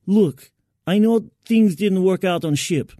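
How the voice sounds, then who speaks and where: A man voicing a tense, earnest female spaceship crewmate in an audiobook story, measured and coaxing. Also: high voice, mellow, no echo, clean studio sound, pitched above the performer's own voice.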